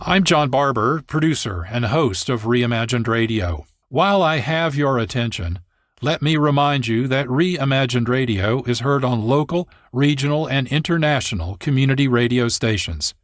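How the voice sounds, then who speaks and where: A man's voice: medium pitch, lively intonation, normal speed, dry recording, clean studio sound. A man hosting a radio programme, presenting from a script. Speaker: radio host and producer. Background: music.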